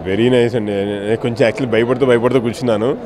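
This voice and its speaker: A man's voice: mid-range voice, steady tone, fast talker. A man with a Telugu accent, an actor giving a casual interview that mixes in English phrases. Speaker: film actor being interviewed. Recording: busy crowd noise behind.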